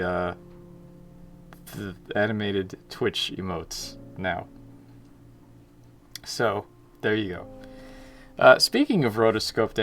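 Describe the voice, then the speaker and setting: A young man talks casually into a desk mic from a small room at home. He greets viewers one by one and pokes fun at himself in an ordinary mid-range voice. Faint music plays behind him. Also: mid-range voice. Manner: chatty and self-mocking, greets chat viewers by name. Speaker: indie game developer streaming. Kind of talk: casual livestream chat.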